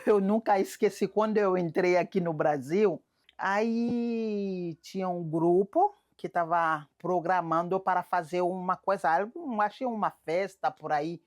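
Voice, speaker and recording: A woman with a Haitian accent, telling an anecdote as an animated storyteller who laughs as she talks. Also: on the low side, unhurried. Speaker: interviewee. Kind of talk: documentary interview.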